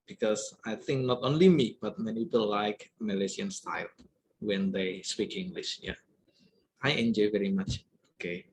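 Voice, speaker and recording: A man's voice: mid-range voice, a little hiss or hum, poor audio. A man giving an online lecture, often stopping on "yeah" and "uh" as he explains. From Indonesia. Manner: repetitive fillers, frequent "yeah" tags.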